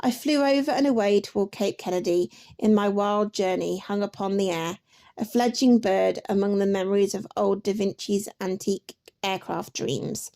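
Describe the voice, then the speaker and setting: A woman reading literary excerpts aloud as a narrator, in a measured, lyrical way. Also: mid-range voice, steady tone, mellow. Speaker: reader of book excerpts.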